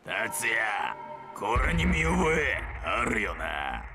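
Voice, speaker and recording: Furious male gangster, gravelly, threatening, pitch swinging wildly, music behind. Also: big pitch swings, takes it slowly. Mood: menacing. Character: angry, accusing male yakuza gangster, loyal underling. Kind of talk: dramatic game cutscene acting.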